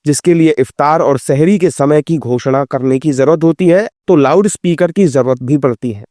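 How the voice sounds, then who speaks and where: A man's voice: fairly high pitch, moderate pace, mellow, no echo, studio quality. A man reading a scripted news report as narrator.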